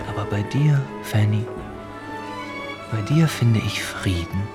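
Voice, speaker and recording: A tired young gentleman in a period radio drama, confiding tenderly. His voice is lowish and unhurried, with loud music playing behind it. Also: expressive. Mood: weary, tender. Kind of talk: German radio play acting.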